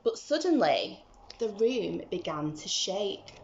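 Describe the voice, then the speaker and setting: A woman's voice: mid-range voice, sing-song, takes it slowly, creaky, darkish tone, reverberant, dull audio, slight background noise. A woman teacher reading a story to young children, expressively and with dramatic emphasis.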